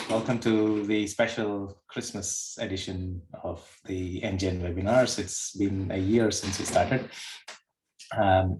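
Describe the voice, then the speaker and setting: Webinar host, man, lowish voice, hesitant intro, echoey room. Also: a little mellow. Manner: hesitant, with ums and light laughs. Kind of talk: introducing a guest. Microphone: webinar video call. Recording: echoey room with faint hiss.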